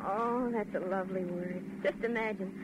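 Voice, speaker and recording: An actress as a weary, fretful young American traveller in a vintage radio play. Her voice sits at a medium pitch, with clear noise on the old recording. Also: fairly even intonation, normal speed, lightly acted. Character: tired, fretful young woman on a road trip. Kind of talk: 1940s radio drama acting.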